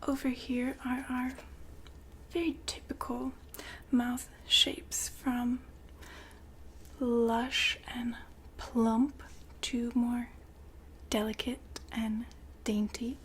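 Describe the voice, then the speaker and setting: A woman whispering softly and breathily in an ASMR roleplay, with gentle, polite sales patter. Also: on the high side, flat delivery, extremely slow, pauses often, a little hiss or hum.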